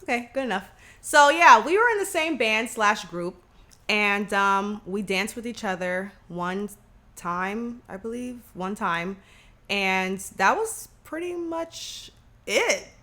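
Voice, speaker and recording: A young woman co-hosting a relaxed podcast, her voice slightly nasal from allergies. She talks in a lively way and laughs often. Her pitch sits in the middle for a woman. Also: slow pace. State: recovering from allergies. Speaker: podcast co-host. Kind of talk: casual chat.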